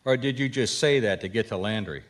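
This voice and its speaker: A man's voice: fairly low pitch, fairly quick, lightly acted. An actor playing a blunt, sceptical male private detective with a plainspoken manner in a radio mystery. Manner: plainspoken, clipped questioning. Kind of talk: radio mystery drama acting.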